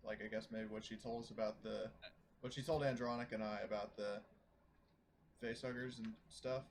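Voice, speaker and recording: A man's voice: lowish voice, fairly even intonation, moderate pace. A man in a casual post-game chat, explaining things in a hesitant, rambling way. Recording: noisy room, clear background hiss.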